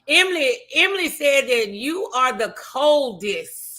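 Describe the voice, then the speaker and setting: A woman hosting a faith-based interview show, speaking in an encouraging, affirming, gently preachy way. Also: high-pitched, big pitch swings, measured pace. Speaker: talk show host.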